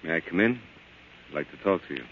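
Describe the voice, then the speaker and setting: A mild, troubled American husband in 1940s radio drama. He has a very low voice and a subdued, hesitant, polite delivery, muffled by the old broadcast recording. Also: expressive, fast talker, heavy background noise. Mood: weary, hesitant.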